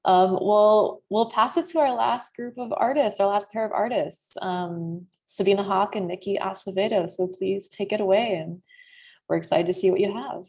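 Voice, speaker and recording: Gravelly-voiced woman moderating an online event. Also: mid-range voice, moderate pace, a little mellow.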